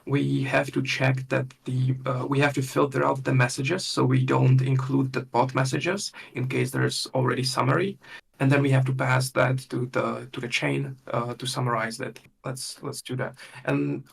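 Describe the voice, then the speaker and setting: A man leading a workshop with a live software demonstration, thinking aloud in a halting way and murmuring to himself as he works. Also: mid-range voice, little pitch movement, vocal fry, breathy edge. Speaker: workshop instructor.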